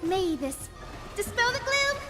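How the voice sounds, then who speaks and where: A woman voicing a tiny, childlike magical creature in a video game, squeaky, cute and exclamatory. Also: sing-song, slow pace, exaggerated voice. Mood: cheerful, earnest. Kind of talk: video game character acting. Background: very loud game music.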